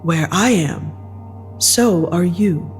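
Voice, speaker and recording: A woman's voice: fairly low pitch, animated, darkish tone. Woman acting cold, controlling female executive over music. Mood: coldly commanding. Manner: clipped, commanding, deliberate. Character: cold controlling wealthy woman executive, possessive and coolly solicitous. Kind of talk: dramatic audio fiction acting.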